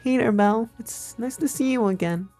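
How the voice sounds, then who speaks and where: An actress playing an excitable, sweet young woman in a visual novel game, gushing theatrically. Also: on the high side, moderate pace, faint music behind, natural voice. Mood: excited, sweet.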